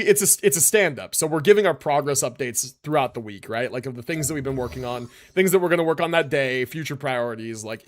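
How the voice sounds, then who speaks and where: Young guy streamer yapping to chat, kinda high voice, super bouncy up and down, mushes his words a bit, says right? a lot. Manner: animated, rambling, says "right?" and "like" often. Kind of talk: casual live-stream chat.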